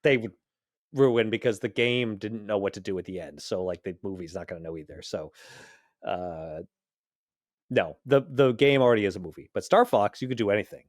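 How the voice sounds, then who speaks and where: A man's voice: fairly low pitch, expressive, a little fast, dry recording, studio quality. A man in casual, opinionated geek conversation on a podcast, talking bluntly. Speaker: podcast panelist.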